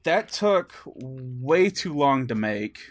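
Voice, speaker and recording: A man's voice: medium pitch, very animated, takes it slowly. A man co-hosting a podcast, telling stories in casual gaming chat, chuckling and acting out what people said. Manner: chuckles through stories, acts out quoted dialogue. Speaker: podcast co-host.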